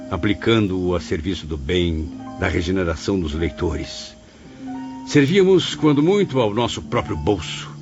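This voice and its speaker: A man playing a remorseful spirit writer who recounts things in a solemn, literary, declamatory way, as in an audio novel. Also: sits low. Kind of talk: audio novel narration in character. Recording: dull muffled audio under music.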